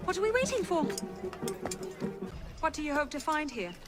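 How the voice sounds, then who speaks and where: An actress playing a confident, sharp-witted young noblewoman in a video game, with a poised, teasing and wry delivery. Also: high voice, big pitch swings, normal speed, music in the background. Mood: wry, curious. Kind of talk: dramatic video game cutscene acting.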